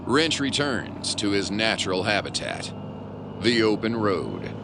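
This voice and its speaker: A man's voice: on the low side, wildly swooping pitch, unhurried, full character voice. A man playing a wry, overwrought noir narrator, delivering florid comic pulp narration. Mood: grandiose. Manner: melodramatic, florid. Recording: clear car and road noise.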